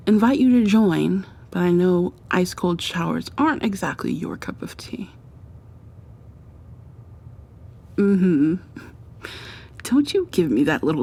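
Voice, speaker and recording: A woman playing an affectionate, playfully dominant girlfriend in an intimate ASMR roleplay, murmuring softly and breathily to the listener, often sliding into whispers. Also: slightly rough, full character voice. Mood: teasing, bossy. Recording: audible background hiss with low rumble.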